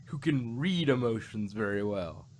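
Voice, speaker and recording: A man co-hosting a podcast, talking casually about a show in a halting, rambling way with frequent restarts. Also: medium pitch, expressive, a crowd in the background. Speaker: podcast co-host.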